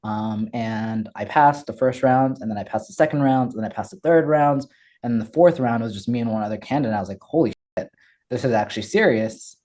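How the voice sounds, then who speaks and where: Brief: young man from Ethiopia, interview guest, relaxed dark tone, energetic and candid. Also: mid-range voice, fairly quick. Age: young adult. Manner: candid, energetic, frequent fillers and restarts. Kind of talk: casual interview storytelling.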